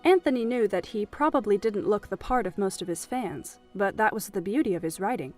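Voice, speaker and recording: A woman's voice: medium pitch, expressive, brisk, faint music behind. A woman narrating a scripted story as the narrator of an audio drama.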